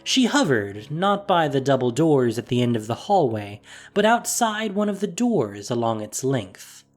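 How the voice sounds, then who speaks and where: A man reading a fantasy story aloud in a measured, expressive way. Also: mid-range voice, faint music behind. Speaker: narrator. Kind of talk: storybook narration.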